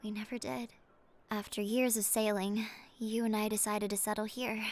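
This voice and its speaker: A woman playing a solemn, devoted sea sorceress in an audio drama, with a tender manner that often softens toward a whisper. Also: mid-range voice, little pitch movement, moderate pace, close to an everyday voice. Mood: gentle, nostalgic. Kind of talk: cinematic audio drama acting.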